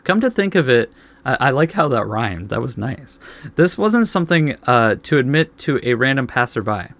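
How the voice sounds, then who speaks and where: A man's voice: mid-range voice, sing-song, normal speed, fairly bright tone, dry recording. A man reading game text aloud as a let's play host, adding laid-back asides.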